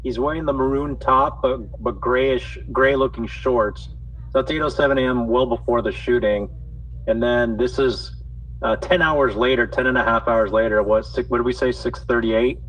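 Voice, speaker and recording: A man's voice: medium pitch, steady tone, moderate pace, a bit bright, distant mic. A man in a live audio chat, talking methodically through the details he sees. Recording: dull audio from off mic with faint noise.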